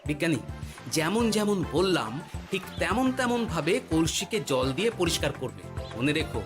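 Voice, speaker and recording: A man playing a clever, commanding cartoon jester who gives careful, deliberate instructions. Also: a little high, big pitch swings. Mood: instructive. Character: clever, commanding male village jester guiding a treasure hunt. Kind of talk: Bengali cartoon voice acting. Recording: loud background music.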